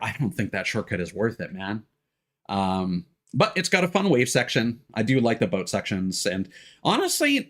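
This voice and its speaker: A man casually commentating on a livestream, thinking aloud in a rambling way with hesitant pauses. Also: mid-range voice, fairly bright tone. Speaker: game livestreamer.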